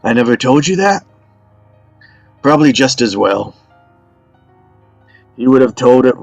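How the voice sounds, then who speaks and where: Creaky, deadpan old storyteller, played by a man. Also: medium pitch, unhurried, no echo, muffled recording, faint music behind. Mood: wry, teasing. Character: ailing old father, wry teller of tall tales. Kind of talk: dramatic script table read.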